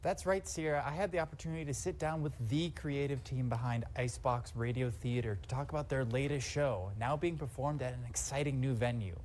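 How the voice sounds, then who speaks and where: A man's voice: medium pitch, steady tone, fairly quick, few pauses, darkish tone. American male news reporter, faint background noise. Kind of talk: scripted news report narration.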